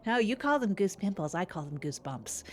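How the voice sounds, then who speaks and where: Small-town public-access co-host, played by a woman: lowish voice, earnest, literal, chatty and halting. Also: very animated, slight background noise. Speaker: co-host of a comedy news podcast. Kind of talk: improvised mock local public-access news chat.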